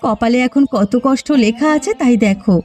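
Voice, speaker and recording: A humble cartoon village woman, acted by a woman, with a fairly high voice. She sounds plaintive and pleading, as if begging for her family, over background music. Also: normal speed, darkish tone, lightly acted. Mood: sorrowful. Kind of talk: cartoon voice acting.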